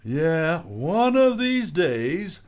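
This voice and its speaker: A working-class family man in a golden-age radio comedy. He grumbles and blusters in a highish voice, with big melodic swings and a slow, drawn-out delivery. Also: big pitch swings, a little mellow, full character voice. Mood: indignant, griping. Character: grumbling, put-upon working-class husband and father. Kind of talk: old-time radio comedy acting.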